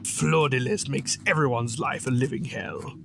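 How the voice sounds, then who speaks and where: A man acting an aloof, commanding pony who rules a theater in an audio drama, speaking in a dry, imperious, measured way. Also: mid-range voice, gravelly, fairly bright tone, a little hiss or hum. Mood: brusque. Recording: music bed behind the voice.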